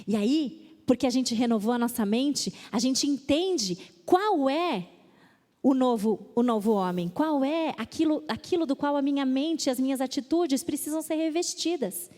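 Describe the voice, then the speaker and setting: Brazilian woman, conference speaker; high voice, animated delivery, church-hall reverb. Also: moderate pace. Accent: Brazilian Portuguese. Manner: lively, reads verses with emphasis, mimics excuses playfully. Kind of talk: women's conference talk on scripture. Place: church auditorium.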